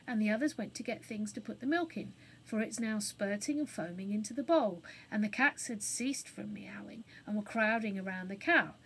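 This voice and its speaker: A woman storyteller giving an expressive narration of a children's story. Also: medium pitch, breathy edge, low-quality recording.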